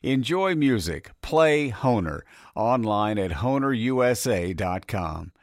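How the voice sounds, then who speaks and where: A man's voice: fairly low pitch, dry recording. Man reading radio announcements, dry sound. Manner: measured, even announcer reading. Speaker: radio show host.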